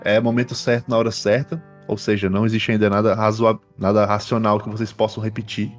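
A man's voice: on the low side, little pitch movement, fairly quick, faint music behind. A man with a Brazilian accent, improvising narration as game master in casual, slangy speech. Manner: informal, uses slang and swearing.

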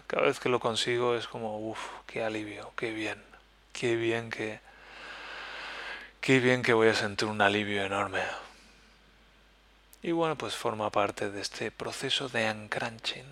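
A man from Spain with a Spanish accent, hosting a podcast and talking softly and haltingly about his feelings. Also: fairly low pitch. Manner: soft-spoken, introspective, halting. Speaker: podcast host. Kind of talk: personal reflective monologue. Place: living in Germany.

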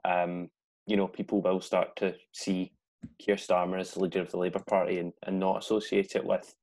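A man with a very low voice and plenty of vocal fry discusses politics casually. His intonation stays fairly flat as he talks on a podcast panel. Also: brisk.

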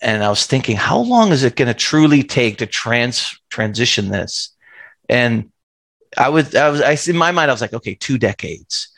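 A man hosts a casual interview, asking friendly questions and sharing his own anecdotes. His voice has a gravelly fry to it. The recording is dry and close, with no room sound. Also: medium pitch, sing-song, moderate pace, fairly bright tone, dry recording. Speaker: podcast host.

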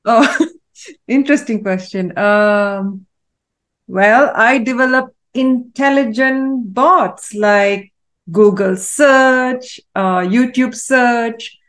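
A woman from Malaysia, a guest in an interview, answering in a thoughtful, measured way with frequent pauses and fillers. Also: on the high side. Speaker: guest, AI consultant.

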